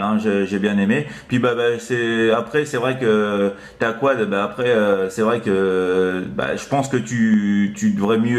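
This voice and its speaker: Male CB operator, lowish voice, rambling halting delivery, noticeable room echo. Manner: halting, repetitive, full of verbal tics. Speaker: amateur CB radio operator. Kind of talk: casual radio chat. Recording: roomy, echoing room sound.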